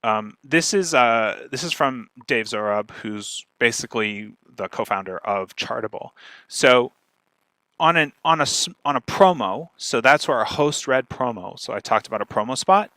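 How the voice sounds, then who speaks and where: A man's voice: medium pitch, bright, forceful tone, no echo, poor audio. A man giving an informal instructional presentation as a speaker, explaining things step by step and checking in with listeners as he goes. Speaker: speaker, podcast marketer giving a talk.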